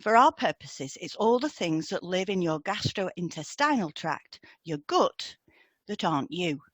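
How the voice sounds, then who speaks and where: A British woman presents a scripted educational podcast in a fairly low voice. She explains things in a measured, orderly way. The sound is very dry, with hardly any room to it. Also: expressive, dry recording. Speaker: podcast co-host and hypnotherapist.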